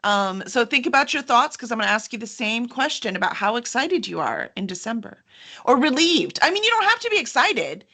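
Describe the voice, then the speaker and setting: A woman's voice: fairly high pitch, big pitch swings, fairly quick, pushed and bright. A woman hosting a casual promotional chat in an enthusiastic, informal way. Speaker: host, book publisher.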